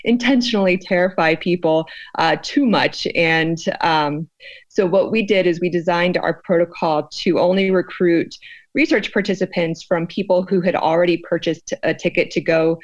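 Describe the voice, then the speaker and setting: A woman explaining her research in an interview, in a thoughtful, halting, conversational way. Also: on the low side, highs cut off. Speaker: guest, sociologist and fear researcher.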